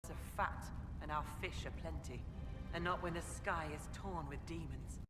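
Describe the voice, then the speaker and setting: A woman's voice: deep voice, moderate pace, music in the background, close to an everyday voice. Woman acting a proud clan leader in an echoing hall. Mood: proud, defiant. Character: proud, blunt clan leader of mountain hunters. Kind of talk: video game cutscene acting.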